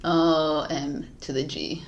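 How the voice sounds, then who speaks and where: A woman's voice: deep voice, fairly even intonation, slow pace, lo-fi sound, slight background noise. A woman tarot reader chatting casually to camera, laughing at herself, restarting often and losing her voice. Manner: giggly, scattered, restarts often. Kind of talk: casual spiritual talk to camera.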